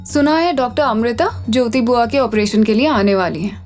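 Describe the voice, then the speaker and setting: A smug young female schemer in an audio drama, played by an actress. Her high voice is self-assured and catty, set over faint music. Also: a little fast, darkish tone, natural voice. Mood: gloating. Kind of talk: Hindi audio drama acting.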